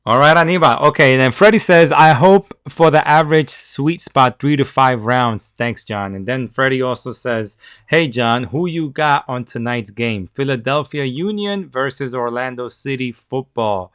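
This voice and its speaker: A man's voice: mid-range voice, normal speed, a bit bright, smooth. Man from El Salvador reading listener questions. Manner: reads out listener questions, upbeat. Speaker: credit repair host. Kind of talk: live podcast Q&A.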